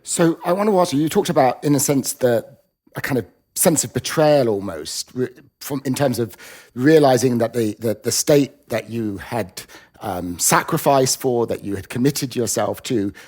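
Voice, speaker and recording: A man's voice: medium pitch, moderate pace, a little mellow. A man co-hosting an interview, carefully framing a question for the guest. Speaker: podcast co-host.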